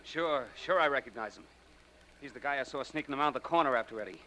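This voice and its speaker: An American actor playing an easygoing, loyal young friend in a 1940s radio drama. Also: male voice, mid-range voice, fast, pushed and bright, natural voice. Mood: alarmed, urgent. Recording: noisy old radio recording.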